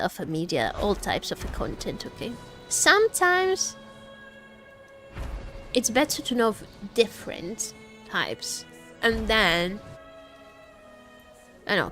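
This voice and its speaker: A woman's voice: mid-range voice, a bit bright. A woman livestream host chatting casually, rambling and searching for her words as she goes. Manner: rambling, hesitant word searching.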